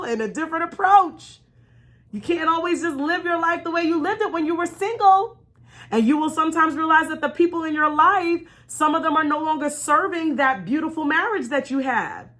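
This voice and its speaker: A woman's voice: high-pitched, pushed and bright. A woman giving a motivational vlog talk in an emphatic way, repeating key phrases and speaking straight to her viewers. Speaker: vlogger and life coach. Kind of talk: motivational storytime vlog with faith-based encouragement.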